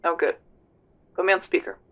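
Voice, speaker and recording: A man's voice: fairly quick, a little hiss or hum, lightly acted. A man playing a tough, serious police investigator on a phone call in a roleplay audio drama, terse and grave. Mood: guarded. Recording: dull lo-fi phone-call sound.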